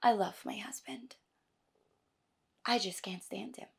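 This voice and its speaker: A woman performing a theatrical monologue as an exasperated, sarcastic wife venting to her doctor, emphatic and swinging between hushed and loud. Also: medium pitch, lively intonation, a little slow, vocal fry, husky, exaggerated voice. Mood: indignant, fed up.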